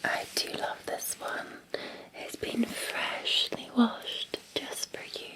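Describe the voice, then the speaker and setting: A Scottish woman playing a gentle, attentive Victorian housemaid in an ASMR roleplay, in a soft, breathy, soothing whisper with polite deference. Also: takes it slowly, natural voice, low-quality recording. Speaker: ASMR performer.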